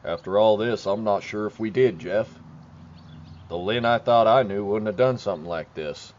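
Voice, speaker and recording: A man's voice: lowish voice, normal speed, darkish tone, close to an everyday voice. A man playing a shaken, weary suburban neighbor, blunt and resigned, in an audio drama. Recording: muffled, clearly noisy outdoor sound. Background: outdoor ambience with birdsong.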